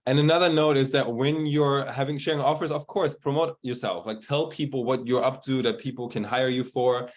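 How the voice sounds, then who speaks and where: A man's voice: medium pitch, steady tone, a little fast, hardly any pauses. A man hosting an online group coaching call, talking in a casual, upbeat way and hyping up his guests. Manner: upbeat, hyped-up introductions. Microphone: video call.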